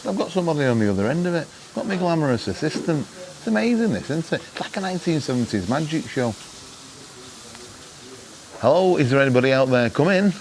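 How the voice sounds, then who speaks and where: A man's voice: on the high side, big pitch swings, fairly quick, darkish tone, poor audio. Chatty British man with wry humour, some background noise. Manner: jokey, wry storytelling with mock exasperation. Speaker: vlog host giving travel gadget tips. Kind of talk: casual chat to camera.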